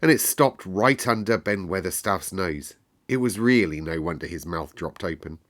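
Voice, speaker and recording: A man reading a story aloud as narrator, expressive and dramatic, with deliberate emphasis. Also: deep voice.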